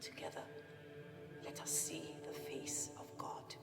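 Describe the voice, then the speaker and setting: An actress playing a serene elderly nun saint in a radio play, speaking with solemn, prayerful consolation and a breathy hush. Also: female voice, measured pace, in a whisper, natural voice. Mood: hushed reverent. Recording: distant, echoey hall under loud music.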